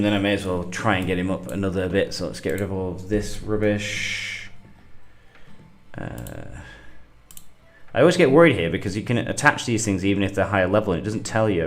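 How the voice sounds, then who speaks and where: A middle-aged man, getting over a cold and a bit sniffly, talking through a game on a livestream in a halting way, thinking aloud with lots of fillers. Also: deep voice. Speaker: gaming livestream host. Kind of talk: casual gameplay commentary.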